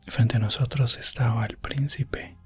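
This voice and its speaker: A man playing an elf prince adventurer, telling a fantasy ASMR tale in a soft, breathy, often whispered voice with solemn pauses. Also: mid-range voice, fairly even intonation, soft background music, close to an everyday voice. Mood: calm, reflective. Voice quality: breathy, hushed. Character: elf prince adventurer recounting his rescue quest, gentle and solemn. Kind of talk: ASMR fantasy roleplay storytelling.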